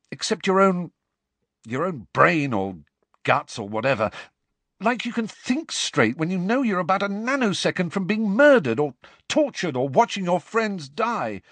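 A man putting on a furious teenage boy who pushes his voice high and loud as he insists he is right. The lines swing up and down with heat and defensiveness. The recording is close and dry, with a slightly breathy edge. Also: no room sound, heavily acted. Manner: raised, heated, insistent. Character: angry teenage boy wizard, defensive and heated.

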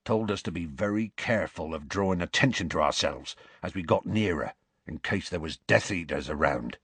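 A man voicing a huge, gruff half-giant groundskeeper who talks in a rustic dialect. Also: deep voice, normal speed, a bit bright, dry recording, exaggerated voice. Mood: storytelling.

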